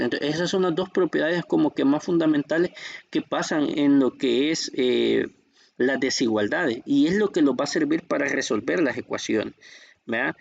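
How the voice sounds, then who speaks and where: Gravelly Salvadoran math teacher. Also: male voice, a little high, a little mellow, no echo, highs cut off. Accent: Salvadoran Spanish. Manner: patient, checks in often with "¿vean?" and "¿ya?". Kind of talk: explaining a textbook lesson.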